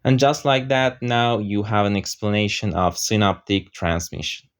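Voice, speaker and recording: A man with a fairly low voice and Persian as his first language walks through a software tool over a screen recording. He explains each step steadily, often pausing on "uh". The voice-over has faint mouse clicks underneath. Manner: steady explaining with frequent "uh" fillers. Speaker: software tutorial presenter.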